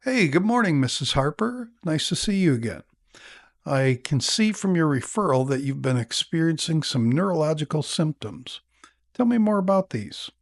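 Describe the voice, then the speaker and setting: A man playing a calm, attentive neurologist in an acted exam-practice consultation, explaining in a measured way with small hesitations. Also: a little high, no room sound, clean studio sound. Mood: attentive, sympathetic. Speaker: narrator and actor in a listening exam practice.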